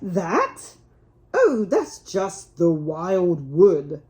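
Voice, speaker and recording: Woman reading as a chummy gentleman water rat, unhurried. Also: lowish voice, huge pitch swings, full character voice. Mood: guarded. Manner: chatty, assured, old-fashioned chummy. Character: worldly, good-natured male water rat, gentlemanly riverbank animal.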